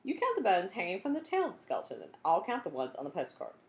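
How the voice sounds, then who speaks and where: A woman's voice: medium pitch, wildly swooping pitch, quick pace, echoey room, pitched above the performer's own voice. A woman voicing a bold, eager young girl detective in a children's storybook, speaking emphatically. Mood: excited. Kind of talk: children's storybook character dialogue.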